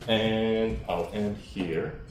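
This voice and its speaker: A man answering academic questions after a research talk, explaining off the cuff in a halting, thinking-aloud way. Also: fairly low pitch, steady tone. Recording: roomy echo with faint noise.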